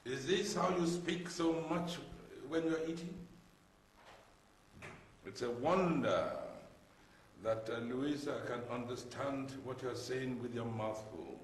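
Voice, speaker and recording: A man's voice: medium pitch, slow, a little hiss or hum, lightly acted. An actor playing an overprotective, domineering middle-aged father in a screen drama, with a pompous, theatrical manner. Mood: indignant, scolding. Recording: roomy echo, music underneath.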